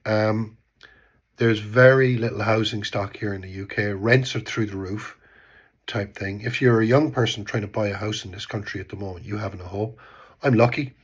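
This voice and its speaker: A man's voice: on the low side, fairly even intonation, normal speed, breathy edge. A man in his fifties with an Irish accent, stuffed up with a cold, giving rambling casual political commentary and often trailing off with "type thing". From Ireland. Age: 50s. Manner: rambling, repeats words, says "type thing". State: congested from a cold. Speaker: vlogger. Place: home in Bristol.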